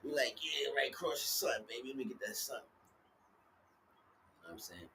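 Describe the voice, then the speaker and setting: A man's voice: medium pitch, expressive, moderate pace, bright, forceful tone, a little hiss or hum. A man giving a casual, freeform spiritual talk as a livestream host, repeating himself and often checking that listeners follow. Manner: repeats himself with frequent conversational check-ins. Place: outdoors.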